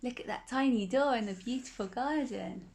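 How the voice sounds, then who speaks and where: A woman's voice: on the high side, moderate pace, a little mellow. A woman reading a picture book aloud to children in a cozy, playful way, with chatty asides and laughs between the lines.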